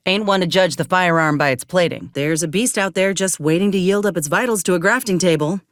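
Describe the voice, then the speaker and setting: An actress playing a gruff, loyal sci-fi soldier and medic in a video game, speaking in a folksy, plainspoken, measured way. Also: female voice, fairly low pitch, no echo, clean studio sound, lightly acted. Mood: wry, steady. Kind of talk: video game companion dialogue.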